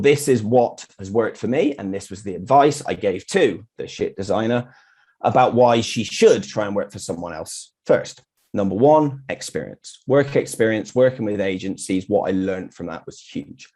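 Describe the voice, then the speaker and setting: Middle aged british guy doing a casual meetup talk, lowish voice, pretty blunt and straight up. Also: darkish tone. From United Kingdom. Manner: candid, blunt, mildly sweary. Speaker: freelance graphic designer giving a meetup talk. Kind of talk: informal presentation with personal anecdotes.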